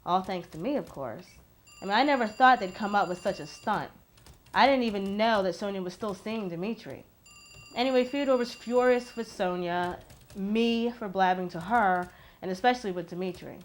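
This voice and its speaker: A woman playing a talkative, self-dramatizing witness to a family blowup, halting and emphatic in conversational monologue. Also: medium pitch, a little hiss or hum, natural voice. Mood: wry. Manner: halting, emphatic, repeats words.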